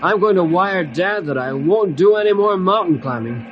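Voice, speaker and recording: A man's voice: sits high, expressive, normal speed, lo-fi sound. A man playing an earnest, contrite boy climber in an old-time radio adventure. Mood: relieved, contrite. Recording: noisy old radio drama recording.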